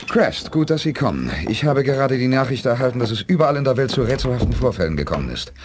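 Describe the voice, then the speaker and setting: An actor playing a determined human space leader in a 1980s radio drama, commanding and insistent. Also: male voice, medium pitch, expressive, fast talker, background noise, close to an everyday voice. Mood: urgent, businesslike.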